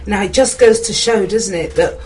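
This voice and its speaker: A woman's voice: medium pitch, moderate pace, darkish tone, far from the mic, slight background noise. A woman hosting a radio interview show, upbeat and laughing often.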